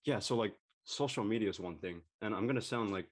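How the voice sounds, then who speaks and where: A teenage male actor with a fairly low voice talks casually on a group call. He sounds tentative, thinking aloud as he goes. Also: brisk. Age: teens, high school student. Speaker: young actor in the cast. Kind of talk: casual chat.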